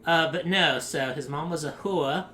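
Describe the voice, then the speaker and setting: A man's voice: a little high, measured pace, pushed and bright. A man hosting a casual group podcast, rambling and sarcastic, laughing often as he talks. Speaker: podcast host. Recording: echoey room, faint noise.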